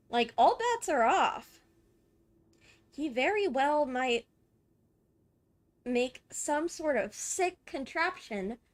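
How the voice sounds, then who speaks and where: A woman streaming a video game, reading text aloud and commenting in an expressive, emotionally reactive way. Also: sits high, no echo. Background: faint game music.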